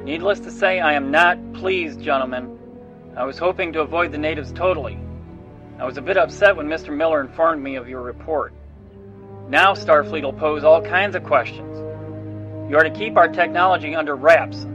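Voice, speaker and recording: A man plays a stern starship captain in a science fiction audio drama, giving clipped, formal orders. His voice is slightly high, and the recording is a bit dull with music clearly behind it. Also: moderate pace, close to an everyday voice. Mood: stern, displeased.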